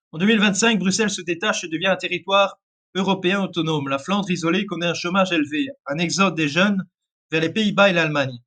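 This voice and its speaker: A man's voice: high voice, a little fast, pauses often, sharp tone. A man reading an alternate-history narration, often stumbling and correcting himself. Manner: reads with frequent restarts and self-corrections.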